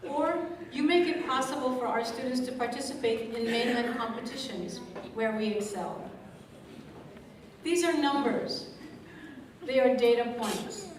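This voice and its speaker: A woman's voice: a little high, a little hiss or hum. A woman emceeing a scholarship evening, projecting a big voice from a script to the audience. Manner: big projecting voice, speaks away from the mic; reads from a script with asides. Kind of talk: public speech to an audience. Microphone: stage mic kept at a distance. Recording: echoey room, distant mic, crowd ambience.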